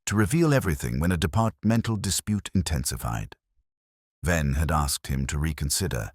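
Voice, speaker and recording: A man narrating a scripted first-person detective story in a solemn, measured, literary manner. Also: extremely low pitch, no echo, clean studio sound. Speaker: audio drama narrator.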